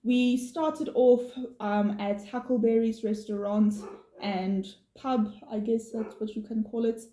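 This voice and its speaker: A woman from South Africa, not a native English speaker, co-hosting a vlog and explaining things in a steady, informative way with some fillers. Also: a little high, measured pace. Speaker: vlog co-host. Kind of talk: casual chat, explaining. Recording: echoey room.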